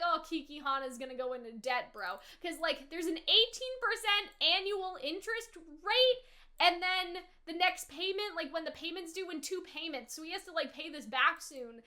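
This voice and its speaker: A woman with a high, bright voice talks like a YouTuber reviewing a show. She is chatty and animated, using casual slang and drifting into tangents as she reacts.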